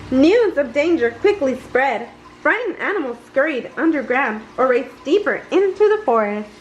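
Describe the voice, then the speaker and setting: A woman reading a children's storybook aloud in an expressive storytelling manner. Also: high-pitched, normal speed, highs cut off, a little hiss or hum. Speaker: story reader. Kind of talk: bedtime storybook read-aloud.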